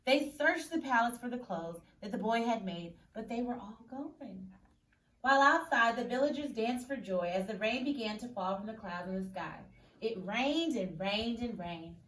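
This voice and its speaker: A woman teacher reading a picture book aloud expressively, pausing to question and explain in an instructive, emphatic way. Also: fairly high pitch, lively intonation, a bit bright. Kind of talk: expressive story read-aloud with questions to children. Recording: echoey room, distant mic, faint noise.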